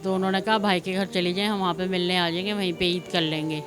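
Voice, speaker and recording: A woman's voice: on the low side, little pitch movement, fast. A middle-aged woman with a Pakistani accent giving a spontaneous, rambling personal account, repeating herself and retelling conversations. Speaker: interviewee recounting her own life. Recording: music laid under the voice.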